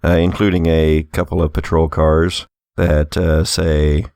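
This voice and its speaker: Very deep, creaky-voiced male game master. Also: level tone, unhurried, darkish tone, no echo, studio quality. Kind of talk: narrating a tabletop horror game.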